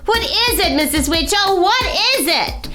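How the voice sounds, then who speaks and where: A woman's voice: very high voice, animated, measured pace, over music, pitched above the performer's own voice. A woman voicing a timid, earnest little boy in peril, anxious and pleading, in a children's storybook. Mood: eager, anxious.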